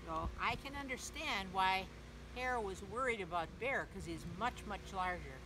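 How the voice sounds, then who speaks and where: Woman reading storytime over clear background noise. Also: mid-range voice, animated, measured pace. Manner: gentle, simple phrasing with questions to the listener. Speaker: children's story reader, teacher. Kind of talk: read-aloud storytime for young children.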